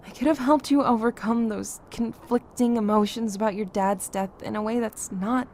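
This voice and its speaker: A woman acting in an audio drama as a caring, frank friend, gentle but direct in manner. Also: mid-range voice, a little hiss or hum.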